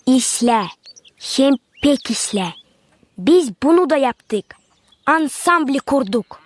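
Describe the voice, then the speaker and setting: Eager, high-voiced young cartoon musician. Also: female voice, lively intonation, slow, dry recording, heavily acted. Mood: pleased. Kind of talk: animated cartoon voice acting. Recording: music behind the voice.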